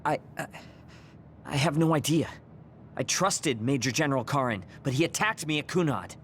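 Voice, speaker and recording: An actor playing a proud, prickly young soldier in a video game, curt and defensive. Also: male voice, mid-range voice, lively intonation, moderate pace, slight background noise, close to an everyday voice. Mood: bitter, resolved. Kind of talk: video game cutscene voice acting.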